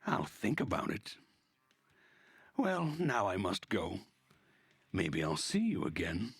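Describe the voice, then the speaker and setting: A man acts out an unhinged young male pioneer in a visual novel. He is smug and cryptic, taunting with stretched-out words. The voice is low and husky, recorded dry. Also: wildly swooping pitch, slow, no room sound, full character voice.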